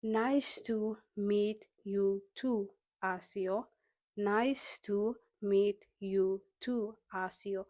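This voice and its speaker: A woman with a Somali accent teaching English phrases, saying each line deliberately and repeating it for learners, then explaining in Somali. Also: mid-range voice, steady tone, warm tone, dry recording. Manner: slow, deliberate drill repetition, switching to Somali explanations. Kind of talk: slow language lesson, repeating phrases.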